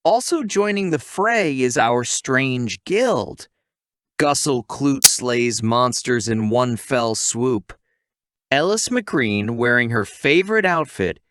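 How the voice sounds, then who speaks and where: Guy doing a scripted recap voiceover, slow pace, really animated pitch, playful asides, super dry sound. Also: no echo. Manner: playful asides and rhetorical questions to the viewer. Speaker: anime recap narrator, channel host. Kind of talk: scripted story recap narration.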